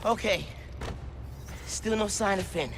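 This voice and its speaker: An actor playing an earnest, low-key teenage superhero in a video game, speaking in a quiet, understated way with dry curiosity. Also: male voice, slow pace, music in the background. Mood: focused. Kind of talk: video game cutscene acting.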